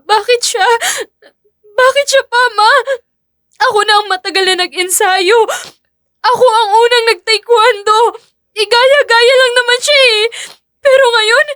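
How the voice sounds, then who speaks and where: A woman's voice: extremely high pitch, sharp tone, no room sound, clean studio sound, heavily acted. A woman playing a bitter, envious young female athlete in an audio drama, with a whiny, tearful, stammering delivery. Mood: tearful, jealous.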